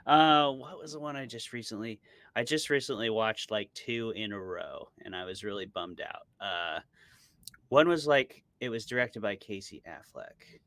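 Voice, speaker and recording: A man's voice: fairly low pitch, normal speed, fairly bright tone, dry recording. A man speaking as an interview guest in a casual chat, giving candid, opinionated answers. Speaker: interview guest, horror author.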